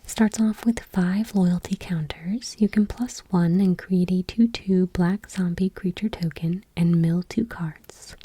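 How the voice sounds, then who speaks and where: A woman softly reading aloud for ASMR in a breathy, whispery voice with a hushed, gentle delivery. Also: lowish voice. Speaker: ASMR video host.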